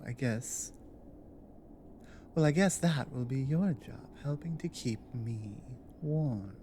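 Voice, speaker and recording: A woman acts out a loyal, guarded orc warrior woman in an intimate ASMR audio drama. Her speech is slow and deliberate, with halting, plain, earnest phrasing. A light wash of ambient noise sits behind the voice. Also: extremely low pitch, a little mellow, full character voice. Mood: protective.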